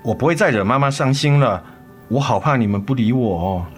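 A man acting a remorseful, devoted middle-aged father in a radio drama, gentle, tender and coaxing. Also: mid-range voice, lively intonation, a little fast, close to an everyday voice. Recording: music under the voice.

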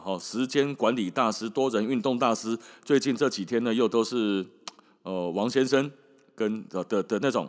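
A man with a Taiwanese Mandarin accent telling stories as a podcast host in a casual, colloquial, wry and emphatic way, often tossing in rhetorical questions. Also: medium pitch. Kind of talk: casual storytelling monologue.

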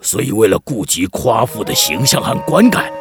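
Middle-aged village chief, hugely animated, gravelly, mock-solemn, folksy storyteller, children's radio drama. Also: male voice, lowish voice, moderate pace, over music. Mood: mock-stern. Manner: theatrical, mock-solemn, avuncular.

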